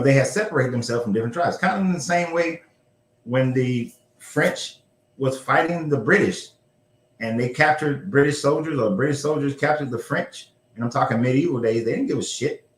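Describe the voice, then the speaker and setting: A man's voice: mid-range voice, moderate pace. Loose-talking man in a roomy room. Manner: loose, profane, corrects himself aloud. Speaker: show host. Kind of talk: casual history discussion. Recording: roomy echo.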